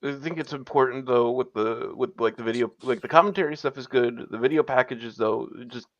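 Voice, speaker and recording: A man from Texas joins over a video call, his voice mid-pitched and muffled by dull, lo-fi audio. He talks in an easygoing, halting way, full of fillers. The sound is dry and close. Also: no room sound. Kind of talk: casual chat.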